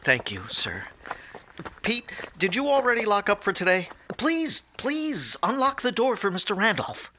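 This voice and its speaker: An eager young gallery director in a radio play. He speaks brightly with big swings in pitch. His manner is earnest and grandiose, easily flustered. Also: huge pitch swings, measured pace, slight background noise, full character voice. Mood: flustered, grateful. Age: young adult. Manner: earnest, effusive, theatrical. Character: eager, up-and-coming male art museum director, flustered and grandiose. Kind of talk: audio drama acting.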